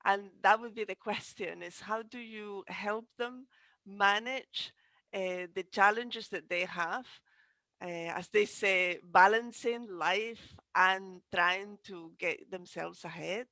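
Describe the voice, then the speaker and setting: Bright-voiced woman co-hosting, close and dry. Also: mid-range voice, takes it slowly, no echo. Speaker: co-host relaying audience questions. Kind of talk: webinar moderation, unscripted. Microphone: headset boom mic on video call.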